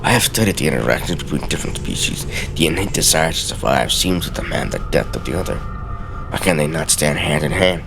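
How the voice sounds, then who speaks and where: A man giving a solemn, deliberate, theatrical dramatic reading of journal entries from a game. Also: a bass voice, expressive, creaky, music in the background. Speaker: let's play host.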